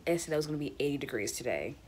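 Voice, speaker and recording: A woman's voice: low voice, animated, rapid-fire, darkish tone, a little hiss or hum. Chatty teenage girl vlogging on her phone. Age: late teens. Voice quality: raspy. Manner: chatty, rambling, addresses viewers as guys. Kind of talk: casual vlog chat. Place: small bedroom indoors. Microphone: phone held close at arm's length.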